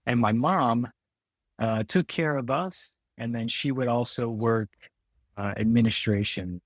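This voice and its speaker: A man's voice: mid-range voice, a little slow, no echo, clean studio sound. An American man in his forties from the Detroit area, talking casually and reflectively as an interview guest, rephrasing as he goes. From Detroit area, Michigan, United States. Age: 40s. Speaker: podcast guest.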